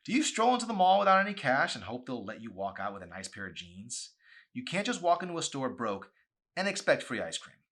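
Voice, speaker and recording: A man plays a scornful teenage shop girl in an audiobook. She is sharp and contemptuous, pushed higher than his own voice, quipping angrily. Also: big pitch swings, fairly quick.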